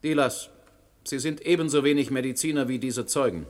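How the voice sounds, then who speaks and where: An actor playing a stern, dogged presiding judge in a radio play, questioning formally and with authority. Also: male voice, medium pitch, fairly quick, natural voice. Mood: probing, stern. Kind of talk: German radio play acting. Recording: older radio recording with background noise.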